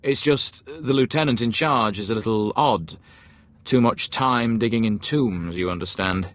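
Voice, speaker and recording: An actor playing a cautious, deferential imperial officer in a video game, formal and obsequious. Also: male voice, lowish voice, unhurried, a bit bright. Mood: deferential, wary. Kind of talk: game voice acting.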